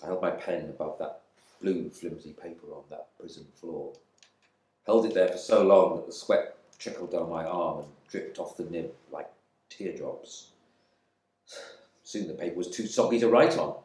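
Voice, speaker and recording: A man's voice: low voice, normal speed, a little mellow, close to an everyday voice. A man performing a dramatic monologue as a proud, resentful airman in prison, in clipped, brooding phrases. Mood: ashamed, reflective. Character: embittered English RAF airman imprisoned in India, proud and resentful. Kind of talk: dramatic monologue reading from a novel. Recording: echoey room.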